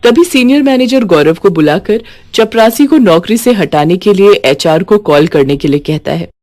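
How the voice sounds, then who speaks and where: A woman's voice: mid-range voice, animated, normal speed, a little mellow. A woman reading a scripted moral story as a storyteller.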